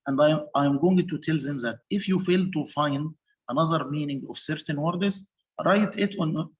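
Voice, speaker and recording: Measured man explaining teaching steps. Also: a little high, poor audio. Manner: measured, explanatory, with pauses and restarts. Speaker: English teacher. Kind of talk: online panel discussion.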